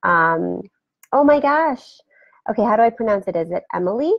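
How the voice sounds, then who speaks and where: A woman hosting a casual live video chat from her home, rambling warmly and greeting viewers by name, her voice scratchy today. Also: medium pitch, expressive, normal speed, creaky, no room sound, highs cut off. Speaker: host. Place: home room beside a turtle aquarium. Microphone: webcam for a live video.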